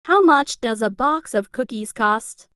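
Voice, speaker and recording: A woman reading an English lesson, saying each practice phrase twice in a slow, even way. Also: on the high side, no echo, studio quality.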